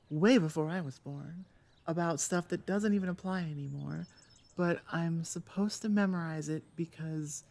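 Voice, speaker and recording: A woman's voice: fairly low pitch, darkish tone. A woman playing a rebellious young oni in a roleplay audio, with an exasperated, rambling, stop-start delivery. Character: rebellious young female oni venting about tradition. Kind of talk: roleplay audio acting, one-sided conversation.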